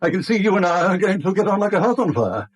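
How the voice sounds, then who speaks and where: An older British bank manager in a seventies radio drama. His voice sits fairly high and swings in pitch, with a husky edge. He is curt, proud and full of wounded dignity. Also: sing-song, quick pace, exaggerated voice. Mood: curt, indignant. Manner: curt, indignant, self-pitying.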